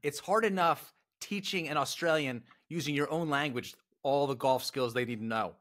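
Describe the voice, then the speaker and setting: A man's voice: on the high side, normal speed, fairly bright tone. A man hosting a golf podcast interview in a brash, jokey, showman-like way. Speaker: podcast host.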